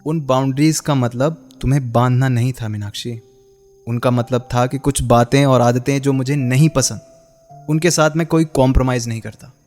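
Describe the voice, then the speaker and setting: A man's voice: mid-range voice, steady tone, normal speed, darkish tone, soft background music, natural voice. An actor playing a reserved, principled male interviewer and former lover in a radio drama, speaking with restrained earnestness. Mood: bitter, accusing.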